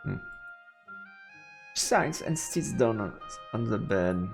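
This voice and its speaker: A man's voice: fairly low pitch, sing-song, slow. A man live-streaming a game, reading its text aloud and commenting informally, stumbling a little over the words. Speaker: let's play streamer. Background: game music.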